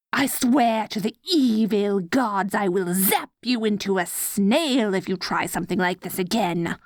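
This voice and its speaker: A woman's voice: mid-range voice, dry recording, studio quality. A woman playing an adorkable evil sorceress queen in a scripted roleplay audio, petulant and gleefully wicked, sliding from theatrical gloating into casual chatter. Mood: exasperated, bossy.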